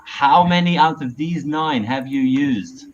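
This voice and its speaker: Male webinar co-host, presenting live, mid-pitched voice, slightly muffled and noisy video call sound. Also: animated, measured pace. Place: small indoor room at home, video call. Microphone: wired earphones on a video call.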